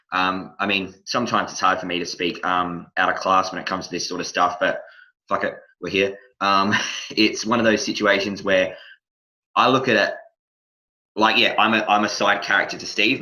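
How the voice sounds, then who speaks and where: An Australian man hosting a casual podcast chat, talking loosely and haltingly, circling back over his phrases. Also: low-pitched, bright, forceful tone, rough recording. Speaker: podcast host, wrestling manager.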